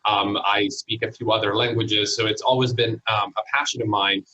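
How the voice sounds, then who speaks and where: A man's voice: lowish voice, flat delivery, normal speed, pushed and bright, rough recording. A man from Italy whose first language is Italian, speaking English with an Italian accent as a guest in a casual interview, thoughtful and hesitant with many fillers. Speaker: guest entrepreneur.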